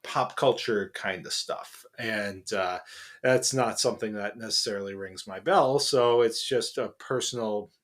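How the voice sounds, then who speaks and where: A man's voice: lowish voice, a little slow, fairly bright tone. A middle-aged man co-hosting a podcast in casual chat, rambling with many fillers and restarts. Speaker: podcast co-host.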